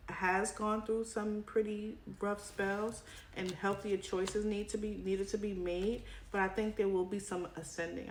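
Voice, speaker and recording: A woman giving a casual tarot reading straight to her viewers, her voice tiring as she comes down with a cold. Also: mid-range voice. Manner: chatty, addresses viewer directly with frequent "right?". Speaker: tarot reader. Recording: echoey room with card shuffling noise. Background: clicks and shuffling cards.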